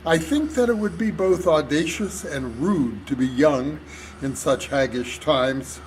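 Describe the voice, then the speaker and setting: An older man giving a solemn, deliberate, declamatory reading of poetry at a live club performance. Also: fairly high pitch. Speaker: reader at a club reader's theater. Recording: noisy live hall recording.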